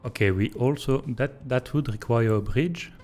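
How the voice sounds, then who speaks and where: A man with a French accent, a native French speaker, thinking aloud in a hesitant, pause-filled way as he streams and comments on a train-building game. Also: mid-range voice, darkish tone, faint music behind. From Belgium. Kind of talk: casual live-stream gameplay commentary.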